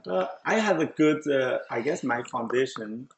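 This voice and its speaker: A man's voice: medium pitch, lively intonation, moderate pace, creaky, background noise. A middle-aged man from China with a Chinese accent, chatting casually about his life and laughing often. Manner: chatty, often breaks into laughter mid-sentence. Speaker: show host telling his story. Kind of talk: casual conversation.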